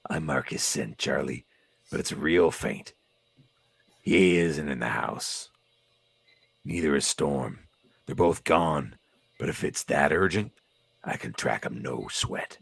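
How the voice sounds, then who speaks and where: A man voicing a gruff, cocky comic-book brawler hero with clipped, casual tough talk. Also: rumbling low, lively intonation, takes it slowly, pauses often, no room sound, pitched below the performer's own voice, exaggerated voice. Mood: gruff, unbothered.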